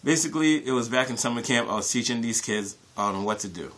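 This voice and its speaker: A man with a mid-pitched voice tells a story in a casual, conversational way. He is animated and expressive, repeating short phrases and slipping into bits of acted-out dialogue as he addresses his online viewers. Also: fast, a little hiss or hum. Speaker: YouTube storyteller. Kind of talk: casual personal storytelling to camera.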